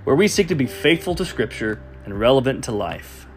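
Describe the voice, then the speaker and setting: Announcer, male, animated pitch, loud and projected, faint music underneath. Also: a little fast. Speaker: program announcer. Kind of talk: scripted intro announcement.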